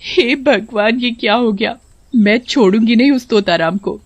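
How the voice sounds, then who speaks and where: A woman voicing an excitable village wife in a cartoon, shrill, exclamatory and melodramatic. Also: normal speed, muffled recording, lightly acted. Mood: outraged. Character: frightened, then indignant village wife of a ghost. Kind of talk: Hindi cartoon voice acting.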